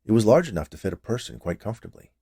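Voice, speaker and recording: A man's voice: lowish voice, sing-song, very fast, creaky, dark tone, breathy edge, dry recording, studio quality. A man reading scripted fiction aloud as a storyteller. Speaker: story reader.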